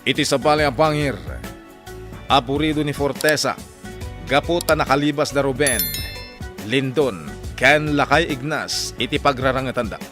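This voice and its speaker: A man's voice: medium pitch, normal speed, long pauses, over music. A man with an Ilocano accent reading scripted dramatic narration for a radio drama.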